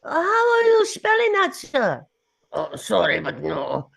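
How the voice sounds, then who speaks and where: Animated, apologetic hotel receptionist read by a woman. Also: sits high, unhurried, gravelly, full character voice. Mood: polite, apologetic. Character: polite, apologetic female hotel receptionist on the phone. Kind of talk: screenplay table read.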